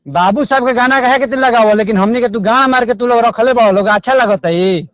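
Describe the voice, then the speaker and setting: A man's voice: very high-pitched, brisk, a bit bright, no echo. A man with a Bhojpuri accent speaking as a caller in a confrontational phone call, in an aggrieved, repetitive, coarse way.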